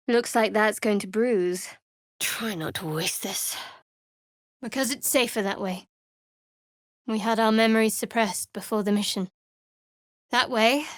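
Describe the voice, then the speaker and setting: An actress playing a guarded, devout young cleric of a dark goddess in a fantasy video game, with a dry, wry, measured delivery. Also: female voice, a little high, dry recording, close to an everyday voice. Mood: wry, appraising, then solemn, devout.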